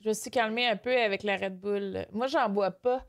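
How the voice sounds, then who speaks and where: A woman's voice: medium pitch, normal speed. A young woman from Canada with a Québécois accent, chatting casually on a live stream while she reads her chat aloud and mixes in English. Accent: Québécois French. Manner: animated, switches to excited squeals, mixes in English.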